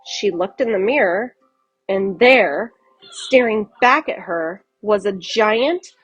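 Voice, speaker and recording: A woman reads a picture book aloud with very expressive, varied intonation. Her voice has a husky grain, and faint music plays behind her. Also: mid-range voice, slow, muffled recording. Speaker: children's story reader.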